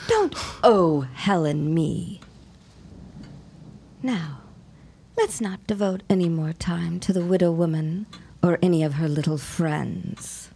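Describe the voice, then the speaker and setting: A woman's voice: on the low side, expressive, takes it slowly, creaky, pauses often, dark tone, slight background noise, heavily acted. A woman acting a sardonic, jealous secretary and mistress of the 1910s in an audio drama, sarcastic and drawling.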